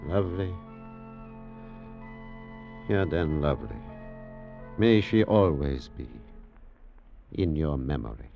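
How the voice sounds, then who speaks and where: Commanding scientist-doctor for vintage radio drama: formal, grave, highly inflected, on a dull old recording. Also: male voice, expressive, creaky, loud music behind the voice, heavily acted. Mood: weary, somber. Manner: deliberate, formal phrasing with few contractions. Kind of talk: 1940s-50s radio drama acting.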